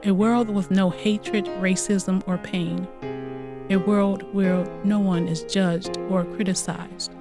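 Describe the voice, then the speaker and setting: A woman giving a slow, gentle poem recitation. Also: mid-range voice, flat delivery, music in the background.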